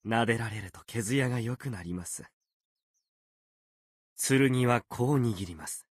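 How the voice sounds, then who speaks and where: A man's voice: mid-range voice, unhurried, breathy edge, no echo. A man voicing a proud, playful fox-spirit swordsman in a video game, speaking in polite, archaic, theatrical phrases. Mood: composed, proud. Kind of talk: Japanese video game character voice lines.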